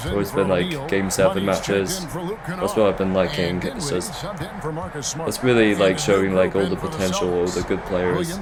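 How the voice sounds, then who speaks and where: A man with a very low voice gives casual commentary over a basketball video game. He rambles and hesitates as he goes, while loud crowd noise roars behind him. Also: lively intonation, moderate pace. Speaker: gaming video host.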